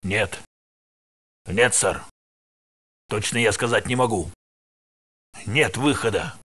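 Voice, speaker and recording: An actor voicing a tired male security guard in a research facility in a video game dub, with a gravelly, matter-of-fact delivery. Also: fairly low pitch, slow pace, sharp tone, heavily acted. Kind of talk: game voice lines, Russian dub.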